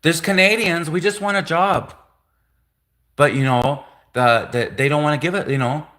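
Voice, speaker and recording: A man's voice: on the high side, moderate pace, fairly bright tone. A man hosting a livestream, talking insistently with lots of repetition and restarts. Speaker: livestream host. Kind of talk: livestream rant.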